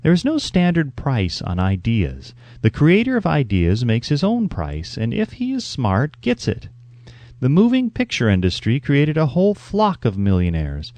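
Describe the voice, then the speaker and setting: A man's voice: medium pitch, wildly swooping pitch, moderate pace, darkish tone, no echo. A man reading a scripted audiobook as narrator, with an emphatic, instructive delivery.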